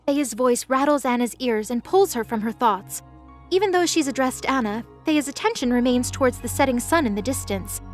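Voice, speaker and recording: A woman's voice: sits high, lively intonation, normal speed, faint music behind. A woman reading scripted narration as the narrator of a fantasy audio drama.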